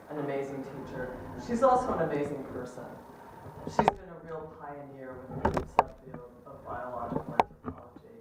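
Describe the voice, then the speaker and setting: A woman's voice: extremely low pitch, noisy background. A woman chairing a department, giving an upbeat welcome speech at a ceremony with frequent ums. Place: large echoey lecture hall. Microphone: amplified microphone recorded from a distance. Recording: very echoey hall from far off.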